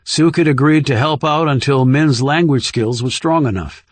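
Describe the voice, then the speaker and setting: A man's voice: mid-range voice, moderate pace, no room sound. A man reading scripted thriller narration as an audiobook narrator.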